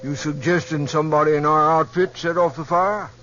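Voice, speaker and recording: An American actor playing a nosy old cowhand sidekick with a folksy Western drawl, in a 1950s radio western. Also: male voice, a little high, steady tone, a little fast, a bit bright, close to an everyday voice. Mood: wondering. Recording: muffled, faintly hissy 1950s radio broadcast.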